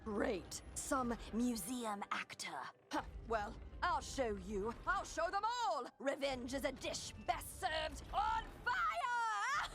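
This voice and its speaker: An actress playing a bitter, scornful villainess in a video game, melodramatic and indignant. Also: female voice, sits high, big pitch swings, slow, fairly bright tone, soft background music, full character voice. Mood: scornful, vengeful. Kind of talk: theatrical video game voice acting.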